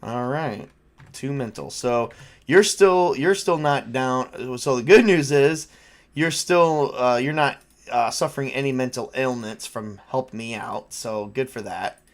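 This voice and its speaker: A man's voice: mid-range voice, a little slow. Casual man running a tabletop game. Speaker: game master.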